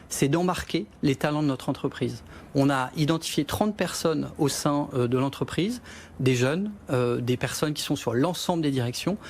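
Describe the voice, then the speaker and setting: A man, a company executive, answering interview questions in a measured way with frequent hesitations. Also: fairly high pitch, a little hiss or hum.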